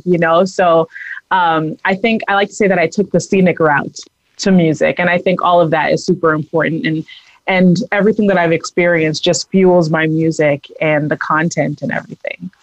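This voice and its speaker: A woman singer in her thirties, from the American East Coast, chatting brightly and laughing as an interview guest. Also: fairly low pitch, no echo, dull audio. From United States East Coast. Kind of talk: casual interview talk.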